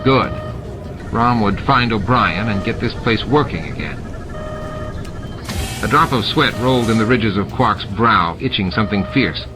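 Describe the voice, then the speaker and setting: A male audiobook narrator reads scripted fiction in a low voice. Music and sound effects are clearly mixed underneath his reading. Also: expressive, unhurried.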